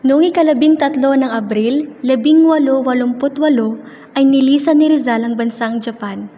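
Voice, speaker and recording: High-voiced woman narrator in long reverb. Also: normal speed, highs cut off, a little hiss or hum. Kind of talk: scripted narration for amateur radio drama. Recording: long reverb, very loud music.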